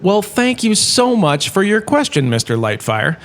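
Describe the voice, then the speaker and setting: A man performs an enthusiastic male college lecturer in a comedic audio drama. His voice sits a bit high, and he talks in a chatty, showy way, like a former star who enjoys the podium. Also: big pitch swings, a little slow, a bit bright, exaggerated voice. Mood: genial, showy. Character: washed-up holodrama star turned enthusiastic, quirky university lecturer.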